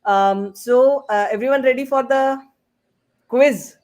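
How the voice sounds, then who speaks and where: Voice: high-pitched, slow, darkish tone. A host moderating a live online panel over a video call, speaking in an informal, chatty and somewhat hesitant way.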